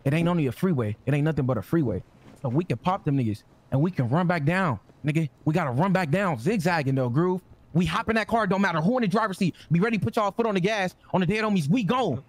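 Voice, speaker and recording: A man's voice: on the high side, animated, fast talker. An American man in casual gaming banter, talking urgently and insistently in profane slang. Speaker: role-play gamer.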